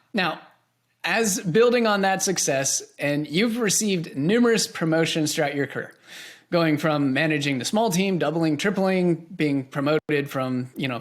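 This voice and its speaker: A man with a fairly high voice hosts a conversational podcast. He is enthusiastic and affirming as he responds to his guest and sets up questions. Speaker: podcast host, interviewer.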